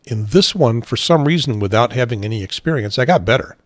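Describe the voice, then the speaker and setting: A man's voice: mid-range voice, expressive, a little fast, no room sound. A middle-aged man with a Chicago accent, hosting a talk show in a casual chat with dry humor.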